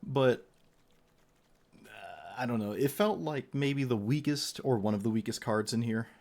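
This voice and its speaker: A man's voice: medium pitch, lively intonation, a little slow, vocal fry, a little mellow. Male streamer, conversational unscripted game commentary, opinionated asides, slightly tired voice. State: voice getting tired, losing it. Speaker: streamer reviewing card game decks.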